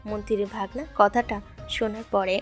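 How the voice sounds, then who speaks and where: A woman's voice: mid-range voice, fairly even intonation, fairly quick. A woman reading scripted narration for an audio story. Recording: background music throughout.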